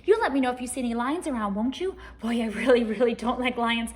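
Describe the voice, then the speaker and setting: A woman's voice: fairly high pitch, fast, close to an everyday voice. A woman voicing a nervous talking zebra, jittery, questioning and repeating itself, in a storybook reading.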